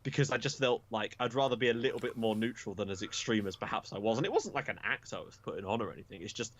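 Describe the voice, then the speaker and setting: A man's voice: fairly low pitch, fast, a bit bright. A young man chatting frankly as a podcast guest, self-deprecating and swearing casually. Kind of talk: casual chat.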